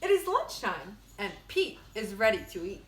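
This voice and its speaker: A woman reading a children's storybook aloud like a teacher, in simple, expressive phrasing for young listeners. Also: medium pitch, normal speed. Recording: echoey room.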